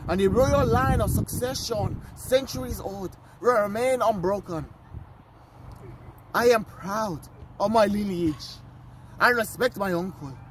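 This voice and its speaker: A man playing a proud, earnest African royal heir in a dramatic monologue, addressing his people in a solemn, deliberate, oratorical way. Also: high-pitched, a little hiss or hum, low-quality recording. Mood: firm, earnest. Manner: slow, deliberate, solemn delivery with weighted pauses.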